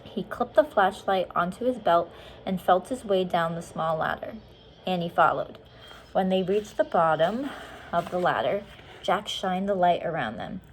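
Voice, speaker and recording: A woman's voice: on the low side, animated, moderate pace, slight background noise, low-quality recording. A woman reading a children's storybook aloud expressively, explaining things simply and casually to young listeners.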